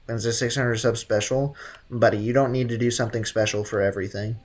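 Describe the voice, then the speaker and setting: A man's voice: medium pitch, steady tone, brisk. A man hosting a casual game livestream, commenting and reading chat with blunt, teasing banter. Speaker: livestream host.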